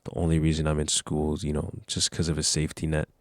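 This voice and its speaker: A man's voice: a bass voice, completely flat delivery, quick pace, no room sound, studio quality. A nineteen-year-old man talking casually to camera, giving earnest, rambling motivational advice. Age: young adult. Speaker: vlogger.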